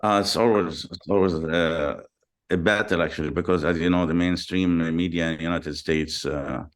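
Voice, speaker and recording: Deep voice middle aged guy, born in lebanon, lots of ums and restarts, talking on a call. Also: steady tone. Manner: halting, many ums and repeated words. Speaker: guest. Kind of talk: video call interview.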